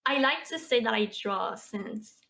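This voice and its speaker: A woman in her twenties with a Québécois French accent, a guest on a podcast, chatting casually with many fillers and soft laughs. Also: fairly high pitch, animated, a little slow, gravelly. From Quebec. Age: 20s. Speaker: podcast guest, streamer and artist. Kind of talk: casual interview chat.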